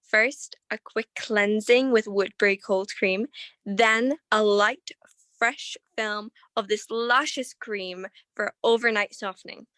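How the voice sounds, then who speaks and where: A woman's voice: medium pitch, slow pace, fairly bright tone, dry recording. A woman playing a polished beauty consultant delivering a persuasive, carefully phrased radio commercial. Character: polished female beauty consultant in cosmetics advert.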